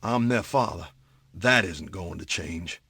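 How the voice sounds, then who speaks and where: Husky, remorseful middle-aged judge. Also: male voice, deep voice, lively intonation, measured pace, pushed and bright, no echo, studio quality, full character voice. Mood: weary, resigned. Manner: subdued, regretful. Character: remorseful, weary judge, older husband and father. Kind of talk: audio drama acting.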